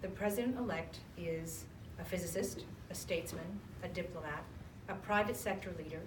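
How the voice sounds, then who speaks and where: A woman's voice: on the low side, moderate pace. Upbeat woman moderator in an echoey hall. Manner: polite, upbeat, laughs easily. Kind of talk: moderating a public talk. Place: event hall. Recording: echoey room, mic at a distance, noisy.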